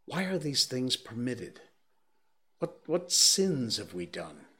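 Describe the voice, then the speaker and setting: A man doing a clergyman undone by terror, his husky voice driven high and loud. He pleads, wails and slips into doom-laden preaching, every question climbing toward despair. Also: expressive, a little slow. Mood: bewildered. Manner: wailing, pleading, preaching in rising outbursts. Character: frantic, despairing male clergyman in shock.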